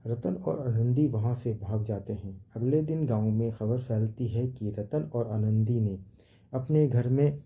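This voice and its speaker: A man's voice: mid-range voice, measured pace, breathy edge, narrow, tinny audio. A man narrating a scripted horror story as a storyteller.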